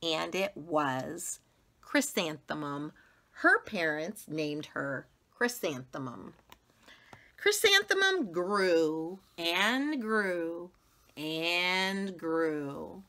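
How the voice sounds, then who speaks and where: A woman in her forties reads a picture book aloud. Her voice is fairly low and bright, with very animated pitch. She is dramatic and emphatic, leaving deliberate pauses for young listeners. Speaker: teacher reading a children's book aloud. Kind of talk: expressive storybook read-aloud. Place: small indoor room with wall boards.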